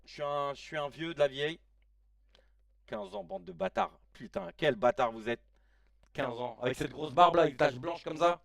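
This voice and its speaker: Man, late thirties, stream host, excitable, slangy, high voice, dry room. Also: normal speed, creaky, dry recording, far from the mic. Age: 30s. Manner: excitable, slangy, shouts at players. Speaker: live-stream watch-along host. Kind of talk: casual live-stream chat and match reactions.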